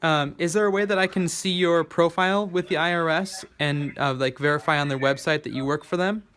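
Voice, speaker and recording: Man, slightly high voice, hesitant, polite, casual phone talk, faint noise and clicks. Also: fairly even intonation, fairly quick, a bit bright. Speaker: call recipient recording a scam call.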